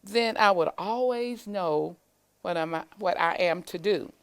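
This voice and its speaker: A woman's voice: fairly low pitch, a little slow. Lady reading as a little storybook boy, earnest and questioning, takes his time. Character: earnest, uncertain little boy seeking answers.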